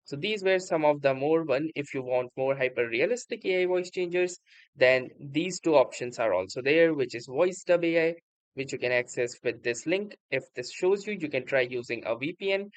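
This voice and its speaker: Male tutorial presenter, somewhat high-pitched, casual walkthrough narration, halting delivery, dry close sound. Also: no room sound. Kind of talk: casual screen-recording software tutorial narration.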